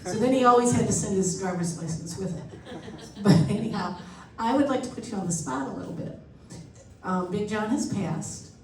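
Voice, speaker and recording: A woman's voice: mid-range voice, a little mellow, slight background noise. Woman chairing a meeting in an echoey hall. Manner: chatty storyteller. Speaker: meeting organizer. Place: church hall meeting room. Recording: echoey hall, speaker far from mic.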